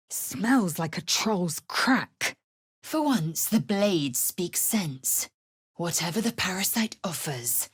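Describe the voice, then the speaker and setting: An actress playing a stern, proud, impatient alien warrior woman in a video game, husky and clipped in delivery. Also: on the low side, dry recording, clean studio sound, exaggerated voice. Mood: curt, disdainful. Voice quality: husky, raspy. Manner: clipped, haughty, commanding. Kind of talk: video game character acting.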